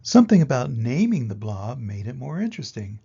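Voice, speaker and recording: An older man reading a children's picture book aloud in an expressive way, with dramatic pauses and emphasis. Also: mid-range voice, vocal fry, breathy edge, dull audio. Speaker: reader of children's picture books. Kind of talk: animated storybook read-aloud.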